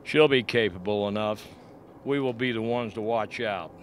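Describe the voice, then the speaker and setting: A man acting a grim, frank scientist in an amateur radio play, explaining things deliberately. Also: on the low side, a little hiss or hum, lightly acted. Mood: firm, explanatory. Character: grim, frank male scientist doctor at a polar outpost.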